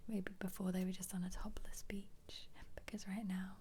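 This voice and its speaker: Whispering woman, soft ASMR ramble. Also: medium pitch, level tone, moderate pace, slight background noise. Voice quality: breathy unvoiced whisper. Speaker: ASMR video creator.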